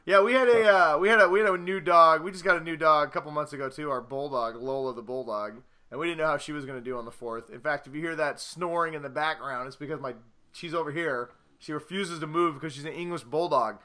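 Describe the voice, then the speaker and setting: Dude co-hosting a podcast, bright voice, a bit high, always cracking jokes. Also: male voice, fast talker. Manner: joking, teasing, animated. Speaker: podcast co-host. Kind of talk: casual banter. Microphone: video podcast mic.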